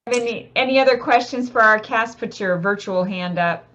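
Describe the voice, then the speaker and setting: A woman's voice: on the high side, normal speed, fairly bright tone. A woman informally moderating as host of an online event, heard over a video call. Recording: slightly dull video-call audio.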